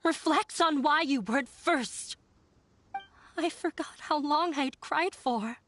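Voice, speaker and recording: A woman's voice: sits high, slow pace, a bit bright, a little hiss or hum. A woman voicing a wounded, resentful young female stage performer in a video game, with earnest, emotional delivery. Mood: hurt, bitter. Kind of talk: dramatic video game voice acting.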